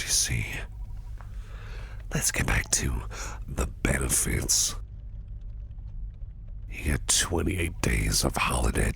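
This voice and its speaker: A man playing a sinister, flirtatious businessman boss in an ASMR roleplay, speaking close to the mic in a gravelly, breathy near-whisper with a teasing, menacing purr. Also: very deep voice, a bit bright, full character voice. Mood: teasing menace, then smooth, flirtatious.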